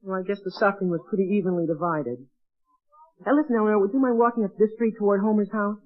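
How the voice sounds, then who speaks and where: An actor playing an awkward, excitable teenage boy in an old radio comedy, hesitant and stammering. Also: sits high, quick pace, close to an everyday voice. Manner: hesitant, stammering, exclaiming "gee whiz". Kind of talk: 1940s radio comedy acting. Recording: dull, band-limited old radio recording.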